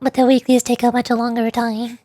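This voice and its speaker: A woman's voice: a little high, flat delivery, brisk, dark tone, no echo. A woman chatting casually and in a rambling way as she livestreams a game. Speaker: streamer, VTuber. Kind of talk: casual livestream chat while gaming.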